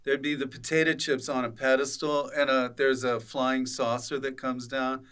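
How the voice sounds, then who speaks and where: A man's voice: mid-range voice, little pitch movement, moderate pace, fairly bright tone, clean tone. A man hosting a podcast, bantering playfully with a guest and riffing in a jokey, teasing way. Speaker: podcast host.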